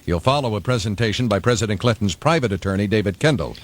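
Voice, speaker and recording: Quick-paced male radio newsreader. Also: fairly low pitch, animated. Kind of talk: scripted news reading.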